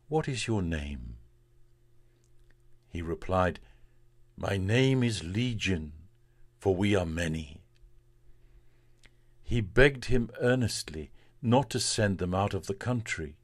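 A man, a priest, reading from the Bible in a solemn, measured and deliberate way. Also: fairly low pitch, dry recording.

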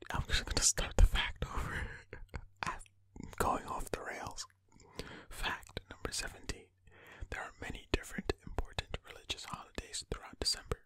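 An ASMR creator whispering a list of facts, breaking off into rambling, self-mocking asides. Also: no room sound. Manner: soft whisper throughout. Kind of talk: whispered ASMR fact reading.

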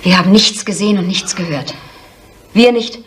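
An actress playing the guarded eldest daughter of a brutal innkeeper in a German radio crime drama. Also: female voice, mid-range voice, moderate pace, lightly acted. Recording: faintly noisy 1970s radio play.

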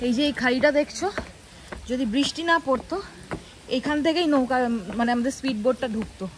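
High-voiced woman vlogging outside on her phone, loud noise behind, laughing at herself being soaked. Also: quick pace. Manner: chatty, self-mocking, talks to camera. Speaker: travel vlogger. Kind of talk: casual travel vlog chat. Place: outdoors, rainy forest trail. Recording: outdoor phone audio with loud background noise.

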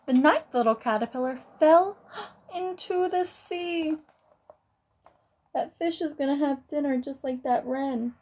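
High-voiced young woman reading to children. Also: unhurried, darkish tone, slight background noise. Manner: exclaiming, child-directed commentary. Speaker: teacher reading aloud to children. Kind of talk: picture book read-aloud with casual asides.

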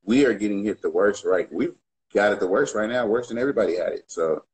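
A laid-back man from the US West Coast with a very low voice, talking from his home studio on a webcam video call. His speech is easygoing and full of slang. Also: animated, fast, rough recording. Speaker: music producer guest. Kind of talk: casual livestream interview.

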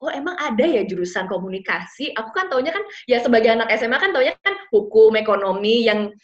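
A woman's voice: fairly high pitch, expressive, quick pace. Young woman, muffled video-call sound. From Indonesia, raised partly abroad in London and Ethiopia. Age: 20s. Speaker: interview guest. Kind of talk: casual chat mixing Indonesian and English. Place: small plain room indoors.

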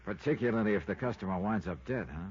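Male actor as suspicious American investigator, lowish voice, clipped probing manner, old radio drama, heavy noise. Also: animated, quick pace, darkish tone, muffled recording, natural voice. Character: hard-boiled, suspicious male insurance investigator. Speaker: lead actor and narrator. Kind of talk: 1950s radio detective drama acting.

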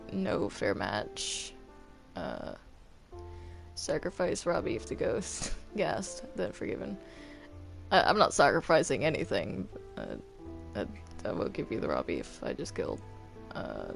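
A woman's voice: deep voice, gravelly. A woman chatting casually while playing a game, hesitant and stammering, often sounding apologetic. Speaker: gamer playing a multiplayer game.

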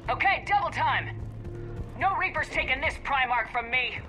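An actress playing a resolute military commander in a video game, terse, serious and commanding. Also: female voice, sits high, expressive, moderate pace, music in the background. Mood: urgent, commanding.

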